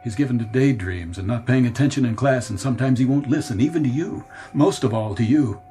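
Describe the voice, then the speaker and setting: A male actor's portrayal of a desperate, earnest man in a radio drama, speaking at a middle pitch. He implores in halting phrases, with music playing faintly underneath. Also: dark tone, natural voice. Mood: earnest, insistent. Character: desperate grown man pleading with parents and younger self.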